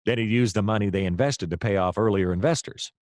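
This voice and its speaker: Guy doing hardboiled radio detective, really low voice, deadpan wry narrator, kind of a loser, dry sound. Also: quick pace, dry recording, natural voice. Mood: eager, pushy. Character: hard-boiled, hapless male private detective narrating his case. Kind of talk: noir radio-play narration and dialogue.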